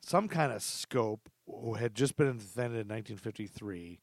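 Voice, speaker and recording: A man's voice: fairly low pitch, animated, moderate pace, no echo. A middle-aged man hosting a podcast in casual chat, with a rambling, self-deprecating way of talking. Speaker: podcast host.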